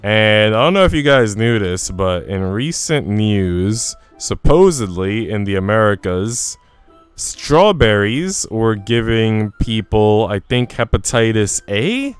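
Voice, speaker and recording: Clear-voiced male streamer chatting over music. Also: fairly low pitch, dry recording. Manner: informal, chatty, mildly profane. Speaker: livestreamer. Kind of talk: casual chat and reading a visual novel aloud. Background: soft music.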